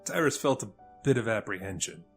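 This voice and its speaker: A man's voice: on the low side, sing-song, brisk, vocal fry, a bit bright. A man narrating a scripted dramatic story as the narrator. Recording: music under the voice.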